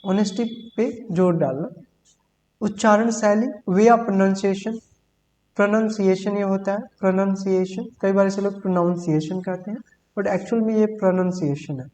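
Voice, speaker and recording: Woman, vocabulary teacher, mellow voice, slow deliberate English examples, long reverb with faint hum. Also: mid-range voice. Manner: reads English examples slowly and deliberately, explains in Hindi; repeats words and says "ठीक है" often. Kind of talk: Hindi-English vocabulary lesson.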